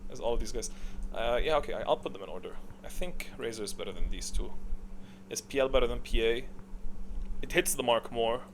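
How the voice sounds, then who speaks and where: A man's voice: mid-range voice, brisk, vocal fry, a little hiss or hum. A man giving casual, unscripted gaming commentary, thinking aloud in an opinionated, rambling way. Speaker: video game commentator.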